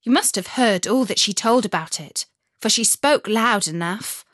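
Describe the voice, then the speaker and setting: A woman's voice: mid-range voice, lively intonation, normal speed, a bit bright, no echo, clean studio sound, close to an everyday voice. A woman voicing a fierce, bossy little robber girl in a storybook reading. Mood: commanding. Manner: bossy, threatening.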